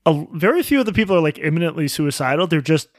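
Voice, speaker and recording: A man's voice: a little high, animated, fast, no echo, studio quality. A man in his thirties co-hosting a podcast in casual chat, wry and profane, telling stories. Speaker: podcast co-host.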